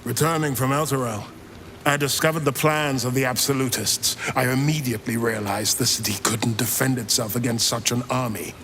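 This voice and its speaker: A man's voice: medium pitch, fairly even intonation, fairly quick, a bit bright, a little hiss or hum, close to an everyday voice. An actor playing a proud, anguished older grand duke and father, with solemn, formal delivery in a fantasy game. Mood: solemn, resolute. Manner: solemn, formal, theatrical oratory. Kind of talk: dramatic fantasy game acting.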